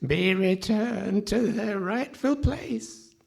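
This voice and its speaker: A woman's voice: medium pitch, slow pace, gravelly, darkish tone. A woman playing an eccentric, pedantic member of parliament in a comic radio play, pompous and quibbling. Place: hall stage before a live audience.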